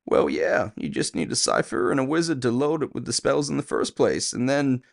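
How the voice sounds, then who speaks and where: A man's voice: mid-range voice, normal speed, vocal fry, pitched above the performer's own voice, natural voice. A man voicing a nervous, defensive male thief on the run, slangy and agitated, in an audio drama. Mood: exasperated.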